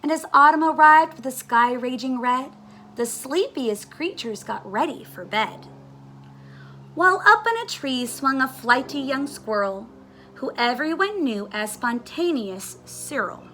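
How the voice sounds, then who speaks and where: Woman, high-pitched, slow-paced, expressive storybook read-aloud, dramatic emphasis. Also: a little hiss or hum, rough recording. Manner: dramatic, deliberate phrasing with emphatic words. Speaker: teacher reading a children's book aloud.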